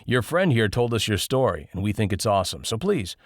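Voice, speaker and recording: A man voicing a friendly, hospitable hotel manager in audiobook dialogue, gently insistent. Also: on the low side, very animated, fairly quick, no room sound, studio quality. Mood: welcoming.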